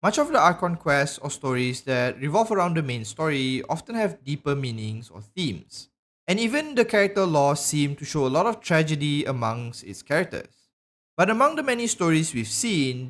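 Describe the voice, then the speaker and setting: A man narrating a scripted video essay in a measured, explanatory way. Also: fairly high pitch, fairly bright tone. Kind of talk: scripted narration, game lore analysis.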